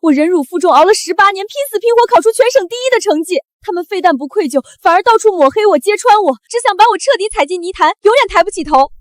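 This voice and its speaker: A young woman in a short drama, wronged yet resilient. Her high voice is earnest and declamatory, recorded close and dry. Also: animated, fast, few pauses, dry recording. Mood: bitter, then aggrieved. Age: young adult. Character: wronged, resilient young top student cast out by family.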